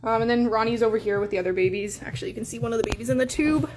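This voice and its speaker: A woman's voice: on the high side, very fast, background noise. A young woman with a Canadian accent chatting in a casual live stream, full of fillers and coos. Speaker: hamster breeder streaming live.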